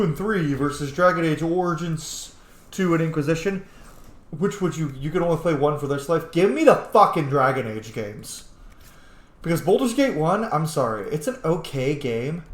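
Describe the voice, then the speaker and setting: Guy doing casual game commentary, swears a lot, pitch all over the place, roomy echo. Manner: sweary, excitable, conversational. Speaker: gaming video host.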